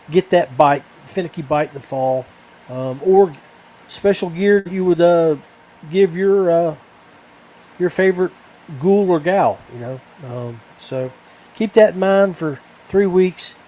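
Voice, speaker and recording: Halting higher-voiced man podcasting over noise. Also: a little mellow, poor audio. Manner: halting, rambling, repeats words. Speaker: podcast host. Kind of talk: casual solo podcast chat. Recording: clear background noise.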